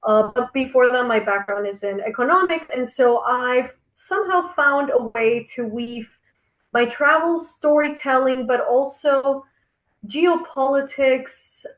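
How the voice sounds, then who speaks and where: A woman from Costa Rica joins a casual chat over a video call, with a fairly high voice that rings in an echoey room. She speaks reflectively and often circles back to rephrase what she means. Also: a little slow, fairly bright tone. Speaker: co-founder of a travel company, guest.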